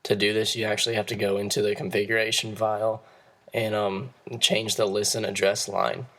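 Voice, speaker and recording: A hoarse young man, losing his voice, giving a class presentation and explaining steps in a halting way with frequent restarts. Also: on the low side, level tone, sharp tone. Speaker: student presenter.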